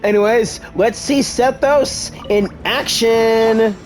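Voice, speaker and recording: A gaming video host giving casual gameplay commentary, given to excitable exclamations. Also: mid-range voice, takes it slowly, soft background music.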